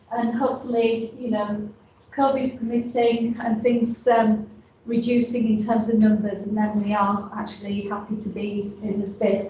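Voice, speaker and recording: A woman's voice: fairly high pitch, mellow. Yorkshire woman presenting in an echoey hall. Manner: chatty, many ums and restarts. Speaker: innovation hub staff presenter. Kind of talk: casual presentation to an audience. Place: large echoey event room. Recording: roomy audio from a distant mic.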